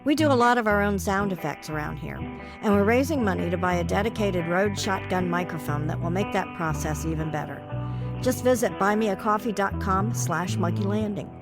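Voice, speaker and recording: A woman's voice: mid-range voice, brisk. A woman podcast creator reading scripted closing announcements. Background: guitar music.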